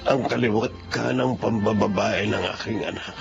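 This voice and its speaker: A man's voice: on the low side, normal speed, vocal fry. An actor playing a remorseful elderly father blaming himself in a dramatic inner monologue. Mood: anguished. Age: older. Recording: muffled drama audio with music.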